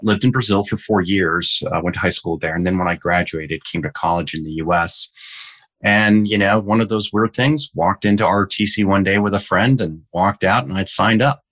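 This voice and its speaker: A native Danish speaker in his fifties, raised in Denmark, answering interview questions at an unhurried, reflective pace. His voice sits very low and settles into a deep, rumbling register. He tells his story steadily, thinking as he goes. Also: a bit bright. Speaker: guest. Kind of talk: conversational interview.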